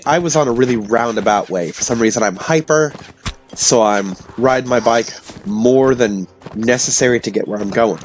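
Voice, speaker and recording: A man with a hoarse voice from illness, telling rambling stories casually to his audience. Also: medium pitch, a little hiss or hum. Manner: meandering, self-interrupting, chatty. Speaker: vlogger.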